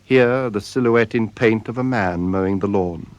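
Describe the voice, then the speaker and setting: A man narrating a radio drama in a solemn, measured way, with deliberate dramatic pauses. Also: on the low side, no echo. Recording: radio drama with music behind. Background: music.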